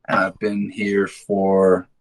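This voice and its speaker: A man's voice: sits low, monotone, takes it slowly. A man in his twenties from London who later moved to the States, chatting casually in an interview with a laid-back, slangy, sweary way of telling stories. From London, England, then United States from high school. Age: 20s. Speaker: band musician being interviewed.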